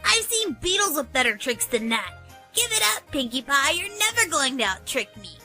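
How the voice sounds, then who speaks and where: A woman's voice: high voice, big pitch swings, moderate pace, sharp tone, faint music behind, heavily acted. A woman voicing a cocky, teasing young female pegasus pony in a cartoon-style audio drama. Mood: smug. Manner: brash, teasing.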